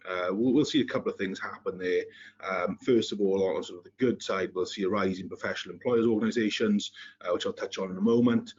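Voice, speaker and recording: Low, husky man with Welsh accent. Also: fairly even intonation, quick pace, a little mellow. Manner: hesitant, many fillers and restarts. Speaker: webinar presenter. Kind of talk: business webinar presentation. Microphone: video call. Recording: muffled lo-fi online call audio.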